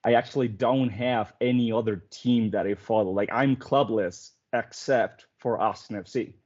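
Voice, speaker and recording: A man's voice: lowish voice, fairly even intonation, moderate pace, a little mellow. Earnest young man, hesitant. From Venezuela. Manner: earnest, hesitant, many ums. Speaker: guest writer. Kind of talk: casual chat. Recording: dull lo-fi sound.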